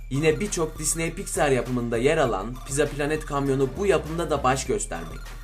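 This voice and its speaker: A man reading scripted narration for a list video. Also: fairly high pitch. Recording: voice-over with music underneath. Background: background music.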